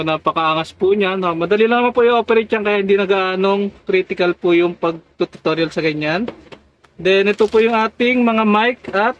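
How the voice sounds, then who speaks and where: A Filipino man with a noticeably high voice narrates a casual hands-on tutorial. He keeps speaking straight to his viewers as he explains each step. The audio is dull and lo-fi. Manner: repeatedly addresses viewers as guys. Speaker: tutorial vlogger.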